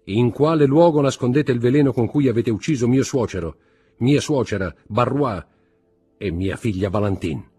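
A male narrator acts out a merciless magistrate confronting his wife in a storybook drama. He is commanding and full of contempt, with a husky edge to his deliberate, accusing delivery. Music plays faintly behind him. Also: mid-range voice, voice pushed up, lightly acted. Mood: cold, accusing. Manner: commanding, contemptuous, deliberate interrogation rising to shouts.

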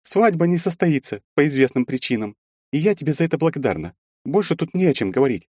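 A man's voice: on the high side, sing-song, fast talker, warm tone, no room sound, pitched above the performer's own voice. A man voicing a proud young woman who speaks in clipped words and breaks into sobbing pleas, in a dry, close recording. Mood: cold.

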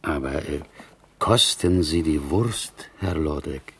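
A deep, gravelly, devout prisoner. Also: male voice, slow, exaggerated voice. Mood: earnest, storytelling. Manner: expressive, ornate inverted phrasing, polite. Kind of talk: 1970s German radio play acting.

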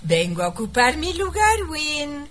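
An actress playing an indignant, nagging, scorned wife in a melodramatic radio parody. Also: female voice, sits high, sing-song, measured pace, fairly bright tone, heavily acted. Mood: indignant, complaining. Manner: insistent, melodramatic. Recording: light background noise.

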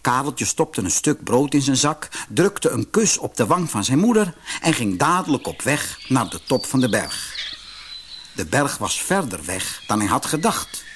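A man narrating a children's fairy tale audiobook in an expressive storytelling manner. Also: medium pitch, noisy background.

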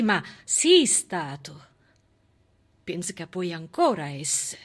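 Southern Italian actress for solo theatre piece: slow, sharp-edged voice, expressive dramatic delivery. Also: female voice, on the low side, breathy edge. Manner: expressive, dramatic, shifting between hushed and emphatic delivery. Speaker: stage actress, solo performer. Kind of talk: theatrical monologue with dialect and song.

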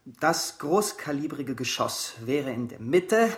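Eager poor teen apprentice in a radio drama, highish voice, earnest n polite. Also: male voice, lively intonation, slow pace. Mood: earnest explaining. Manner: respectful, earnest.

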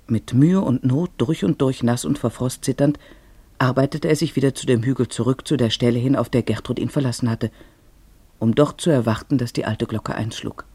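A male narrator tells a literary story for a radio drama in a measured way. His mid-pitched voice is dark and slightly rough with husk, recorded very dry and close. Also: no room sound.

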